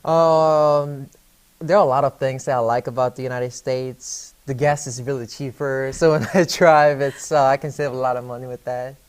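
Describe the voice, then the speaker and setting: A young man from South Korea, Korean his first language, speaks in a flat, even tone. He answers interview questions hesitantly, full of fillers and restarts. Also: mid-range voice, normal speed, clear, resonant tone. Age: young adult.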